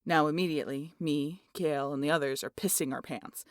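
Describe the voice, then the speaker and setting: A woman acting a rowdy, muscular aunt in an audio roleplay. The voice is on the low side and closely recorded with a dry sound, swaggering and teasing as it spins tales. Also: brisk, no room sound, close to an everyday voice. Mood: suspenseful. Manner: swaggering, foul-mouthed, animated storyteller. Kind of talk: ASMR roleplay audio drama.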